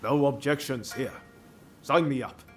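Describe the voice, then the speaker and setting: A man's voice: mid-range voice, a little slow, soft background music, natural voice. An actor playing a cocky, easygoing swordsman in a samurai game cutscene. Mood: confident. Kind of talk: scripted game cutscene acting.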